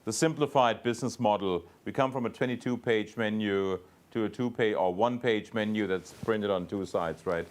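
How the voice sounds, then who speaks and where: A man speaking as a company executive, answering investor questions off the cuff in a halting, emphatic way with repeated words. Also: lowish voice. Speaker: company executive on an earnings call.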